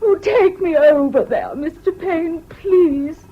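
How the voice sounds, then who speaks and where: A woman's voice: very high voice, slow pace. An actress playing a distraught, anxious English mother in a radio drama, close to tears. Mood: distraught, tearful. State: crying. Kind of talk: British radio drama acting. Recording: dull old radio recording.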